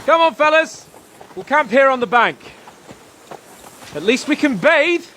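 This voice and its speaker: Cheeky young lord on the road, high animated voice, jaunty banter over horse noise. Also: male voice, a little slow, exaggerated voice. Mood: jovial. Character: breezy, cheeky young travelling nobleman. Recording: noisy outdoor horse and hoof ambience. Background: clip-clopping horses, rural outdoor ambience.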